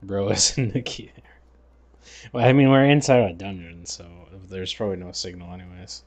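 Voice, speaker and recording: A man's voice: low-pitched, steady tone, moderate pace, a little mellow. A man chatting casually and slangily as he livestreams a game, half talking to himself. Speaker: livestreamer playing a text adventure game. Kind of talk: casual gaming stream chat.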